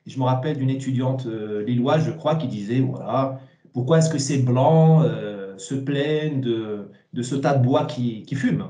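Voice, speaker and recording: A man, giving emphatic, opinionated interview answers with repeated words and halting starts. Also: mid-range voice, dark tone, echoey room. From Morocco. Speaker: interview guest, essayist.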